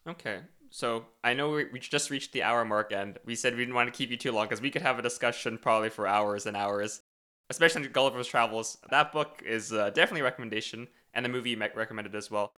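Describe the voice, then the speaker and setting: A man co-hosting a podcast who brings the interview to a close in a brisk way. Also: medium pitch, bright, forceful tone. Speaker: podcast co-host. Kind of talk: wrapping up an interview.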